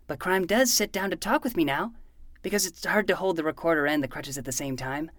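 A woman's voice: fairly low pitch, a little fast, no echo, clean studio sound, natural voice. A woman voicing an earnest, precocious twelve-year-old boy in a fiction podcast, with a matter-of-fact manner.

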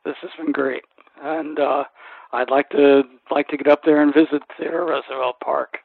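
A man hosting a conversational radio interview, putting polite, halting questions, heard through a narrow-band channel. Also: a little high, level tone, creaky, no echo.

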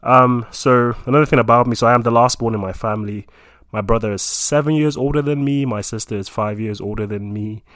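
A man's voice: medium pitch, a little fast, dry recording. A young man from Nigeria in his twenties, chatting casually about himself with lots of fillers. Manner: relaxed, rambling with many fillers.

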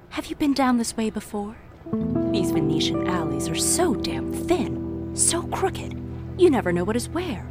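An actress playing a sly, conspiratorial woman storyteller in an audio drama, speaking theatrically and teasingly straight to the listener. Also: on the high side. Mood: furtive, conspiratorial. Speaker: narrator character of an audio drama. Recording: music playing behind the voice.

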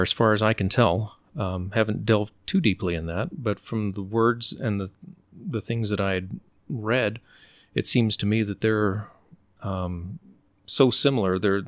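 Deep-voiced thoughtful middle-aged man. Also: dry recording. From United States. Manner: unhurried, thoughtful, often pauses to search for words. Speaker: interview guest. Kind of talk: relaxed conversational storytelling.

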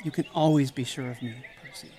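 A man acting in an audio drama as a caring, excitable and devoted young friend, earnest and expressive. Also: mid-range voice, quick pace, slight background noise, lightly acted. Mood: tender concern.

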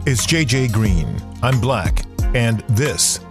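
A man hosting a podcast who thanks a guest and reads out contact details, in deliberate host phrasing. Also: medium pitch, expressive, over music.

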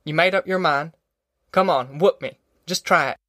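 A man's voice: a little high, normal speed, bright, forceful tone, dry recording, studio quality, pitched above the performer's own voice. A man voicing a fierce, stubborn middle-aged rural mother with a rural Southern American accent, in an audiobook. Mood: defiant. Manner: folksy dialect, defiant, threatening. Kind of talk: audiobook character acting.

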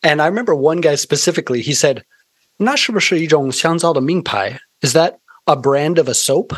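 A man's voice: on the high side, lively intonation, a little fast, dry recording. An American man speaking earnestly and articulately, telling stories in a conversational interview with touches of preaching. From United States (Arizona). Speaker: guest, missionary organization founder.

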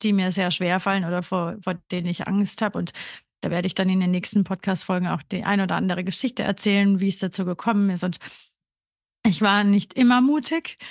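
A woman's voice: medium pitch, dry recording. Austrian-born woman podcaster, dry close sound. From Burgenland, Austria. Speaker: podcast co-host. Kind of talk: casual personal conversational talk.

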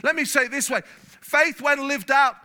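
Brief: male pastor from England, high-pitched and sharp, lively delivery that swells louder. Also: normal speed. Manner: animated, gets louder when stirred, repeats words. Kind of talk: sermon. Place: church hall.

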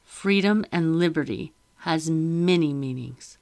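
A woman's voice: sits low, takes it slowly, a little mellow. A woman reading an audiobook and voicing a weary, defiant merchant husband who speaks firmly and formally. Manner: firm and formal.

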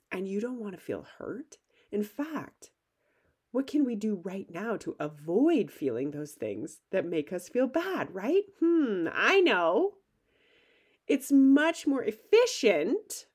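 A woman's voice: mid-range voice, darkish tone, husky. Upbeat woman podcast host with a cold. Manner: upbeat, enthusiastic, conversational. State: has a cold, slightly gravelly. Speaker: podcast host and life coach. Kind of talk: solo podcast monologue.

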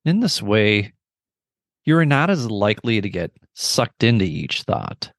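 A middle-aged man leading a guided meditation with measured, gentle, instructional phrasing and pauses between phrases. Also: fairly low pitch, no echo, studio quality.

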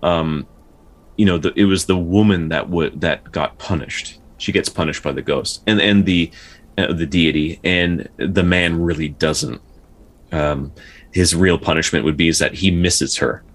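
Male podcast co-host, very low voice, casual but studious folklore explainer with a halting, word-repeating delivery. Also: a bit bright. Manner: halting, with repeated words and stutters. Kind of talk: casual explanatory discussion of folktales.

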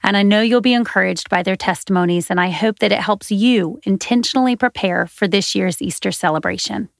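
A woman hosts a faith podcast, her voice gravelly with a little vocal fry. She reads her script earnestly and steadily, close and dry with no room sound. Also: medium pitch, brisk, no echo. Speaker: podcast host.

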